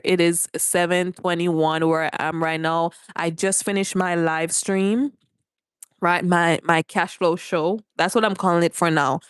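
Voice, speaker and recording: A woman's voice: on the low side, clean tone, no echo, clean studio sound. Chatty Jamaican woman on a live stream. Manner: chatty, greets viewers by name and reads comments aloud. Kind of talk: casual live-stream chat. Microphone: phone held for a vertical live stream.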